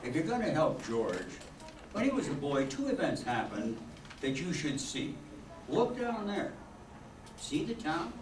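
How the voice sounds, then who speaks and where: A man's voice: a little high, wildly swooping pitch, a little slow. An older man playing a kindly senior angel who narrates and gives orders in a radio play. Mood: authoritative, kindly. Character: kindly senior male angel, storytelling and in charge. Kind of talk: old-time radio play acting, narrating. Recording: echoey, distant, noisy stage recording.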